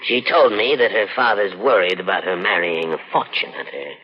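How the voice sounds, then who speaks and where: An actor playing a blind, possessive old rich uncle in 1940s radio suspense, genteel and patronizing in manner. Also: male voice, deep voice, expressive, moderate pace. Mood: dry, needling. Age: older. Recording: muffled, noisy old radio broadcast.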